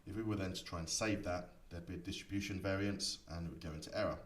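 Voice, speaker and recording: A man giving a software tutorial, explaining each setting step by step in an instructor's manner. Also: low-pitched, fairly even intonation, fast. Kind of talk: screen-recorded tutorial explanation. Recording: echoey room, distant mic, faint noise.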